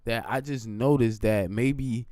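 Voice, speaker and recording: A man with a deep, low voice talking informally in a casual, rambling livestream monologue, often checking in with his listeners. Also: steady tone, slow, darkish tone, dry recording, clean studio sound. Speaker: live streamer.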